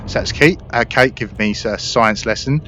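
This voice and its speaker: A man's voice: medium pitch, normal speed, bright, forceful tone. A man giving casual, explanatory gameplay commentary in a conversational way. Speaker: gaming video creator. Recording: muffled mic with faint noise.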